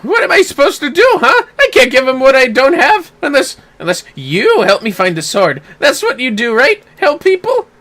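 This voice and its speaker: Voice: sits high, big pitch swings, moderate pace, few pauses, fairly bright tone, full character voice. A performer voicing a boastful, cowardly young male would-be warrior with theatrical bluster, reading game dialogue aloud. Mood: defensive, pleading.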